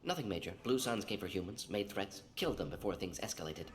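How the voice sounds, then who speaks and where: A man voicing a brisk, clever alien scientist doctor in a video game, speaking in clipped, matter-of-fact fragments. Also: sits low, lively intonation. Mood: clinical, matter-of-fact. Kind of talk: video game dialogue acting.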